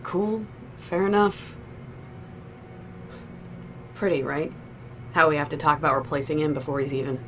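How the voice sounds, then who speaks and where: A woman's voice: sits low, normal speed, pauses often, fairly bright tone, slight background noise. A woman playing a loyal, frank deputy on a security team, casual and wisecracking in naturalistic audio drama dialogue. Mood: hesitant, probing. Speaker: supporting character.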